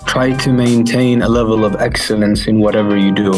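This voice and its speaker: A man in his thirties from Finland, answering an interviewer at an unhurried pace. His voice sits low and stays fairly flat, and he pauses to reach for words. Soft music plays faintly behind him. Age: 30s. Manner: thoughtful, with many fillers and restarts. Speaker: guest.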